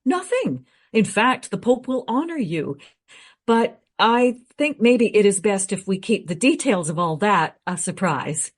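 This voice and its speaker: Radio play researcher, female actor: knowledgeable, frank, brisk, very expressive pitch swings. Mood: gentle, guarded. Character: well-informed, matter-of-fact female history researcher.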